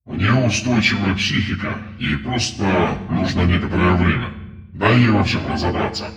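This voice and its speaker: A man voicing a mysterious, calm computer-masked hacker in an audiobook, speaking in measured, terse phrases through an echoing synthetic effect. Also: deep voice, pitched below the performer's own voice. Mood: calm, assured.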